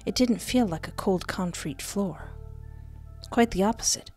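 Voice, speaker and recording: Young woman doing a lets play, jokey and animated, reads the text out, faint music behind. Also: mid-range voice, unhurried. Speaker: gaming video host. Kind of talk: playful let's play commentary mixed with reading game text aloud. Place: indoor home recording setup. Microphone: close desktop microphone with headset. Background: game music.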